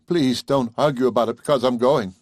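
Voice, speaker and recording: A man's voice: mid-range voice, fairly quick, a little mellow, no room sound, clean studio sound, lightly acted. A man voicing a proud young wife, defiant yet shaken, speaking in a tense, clipped way.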